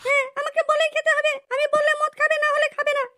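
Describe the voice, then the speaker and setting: Squeaky, extremely high-pitched nagging cartoon wife. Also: female voice, monotone, fast talker, bright, forceful tone. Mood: indignant scolding. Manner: nagging, indignant complaining. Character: aggrieved, scolding young village wife in cartoon. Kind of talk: amateur comedy cartoon dubbing.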